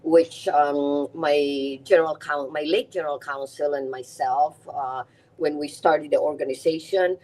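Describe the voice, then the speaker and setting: Low-voiced Filipina woman with an accent. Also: fairly even intonation, a little slow, dark tone, low-quality recording. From Philippines. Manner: earnest, repeats words while building her point. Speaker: guest, nonprofit CEO. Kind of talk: podcast interview.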